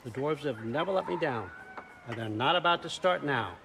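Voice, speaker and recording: A man's voice: mid-range voice, expressive, moderate pace, gravelly, sharp tone, slight background noise. An actor playing a brash, self-important, sly Norse god-king in a cinematic video game. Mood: domineering. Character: commanding, sly Norse god-king, gruff father figure.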